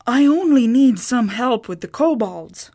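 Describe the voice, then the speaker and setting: A woman voicing a practical, exasperated farm wife who speaks bluntly and indignantly, at a slow pace. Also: fairly high pitch, expressive, mellow, voice pushed up. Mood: shocked.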